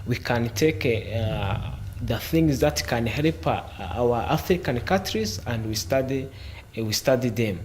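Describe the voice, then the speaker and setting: Halting male panelist with frequent pauses. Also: mid-range voice, expressive, slight background noise. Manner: halting, many pauses and fillers. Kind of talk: panel discussion.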